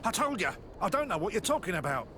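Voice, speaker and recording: Nervous game shopkeeper, high voice, lively intonation, polite, evasive. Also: male voice, fast talker, noisy background. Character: nervous, evasive, courteous male wine merchant. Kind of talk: game cutscene acting.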